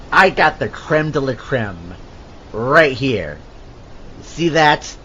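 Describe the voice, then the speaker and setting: A man acts a cocky, wisecracking marine scientist in an audio drama, talking very slowly at a medium pitch. The recording is dull, with some faint noise behind him. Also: very animated, sharp tone, full character voice. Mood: bantering, tipsy. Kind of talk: amateur audio adaptation of a film scene.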